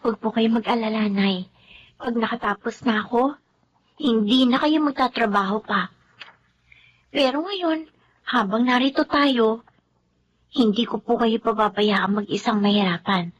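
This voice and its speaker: A woman's voice: a little high, a little slow, long pauses, dull audio. An actress playing a dutiful young woman student, the maid's daughter, in a radio drama. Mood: reassuring. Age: young adult.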